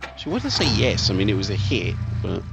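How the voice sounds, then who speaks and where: A man's voice: on the low side, wildly swooping pitch, fast, creaky. A middle-aged man giving casual let's play commentary on a strategy game, talking conversationally as he thinks aloud. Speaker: video host, gamer. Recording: game music behind the voice.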